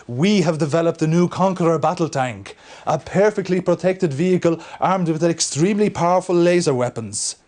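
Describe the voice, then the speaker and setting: An actor playing an eager, courteous military research professor in a video game briefing, explaining things politely. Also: male voice, high-pitched, darkish tone, natural voice. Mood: assured, promotional. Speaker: research scientist character in a game cutscene.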